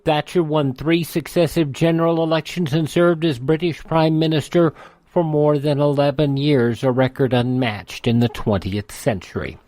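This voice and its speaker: A man's voice: fairly high pitch, fairly even intonation, moderate pace, darkish tone. A man with an American accent reading a scripted, news-style history narration. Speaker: radio history segment narrator.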